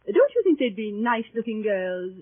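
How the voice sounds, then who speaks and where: An actress playing a coy, catty middle-aged spinster aunt, gushing and affected in 1930s radio style. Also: female voice, mid-range voice, sing-song, moderate pace. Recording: muffled, dry old radio recording.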